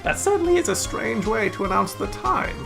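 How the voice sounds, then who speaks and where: A man's voice: sits high, big pitch swings, brisk, creaky, voice pushed up, heavily acted. A man voicing a pompous, formal elderly courtroom judge from a video game, with music behind him. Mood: curious. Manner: formal, ponderous, exclamatory. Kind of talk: hobby video game dub.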